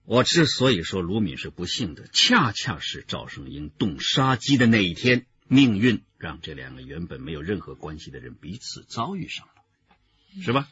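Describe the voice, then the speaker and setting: Seasoned detective, low-voiced, deliberate and authoritative, slightly muffled radio drama. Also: male voice, very animated, a bit bright. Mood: analytical, grave. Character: seasoned male police detective leading case analysis. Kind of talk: Chinese radio drama acting.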